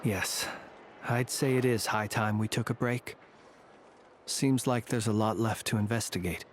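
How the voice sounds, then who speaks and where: An actor playing a courteous, secretive lantern keeper in a video game, speaking in a formal, measured, wry way. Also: male voice, on the low side, fairly even intonation, a little hiss or hum, close to an everyday voice. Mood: composed, pondering. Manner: formal, measured, dryly ironic.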